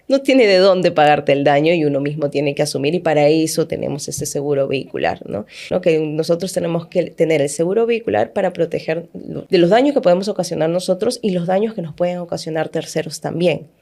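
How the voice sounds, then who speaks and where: A woman's voice: low-pitched, mellow. A woman hosting a podcast interview, talking conversationally and ending points with tag questions. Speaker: podcast host.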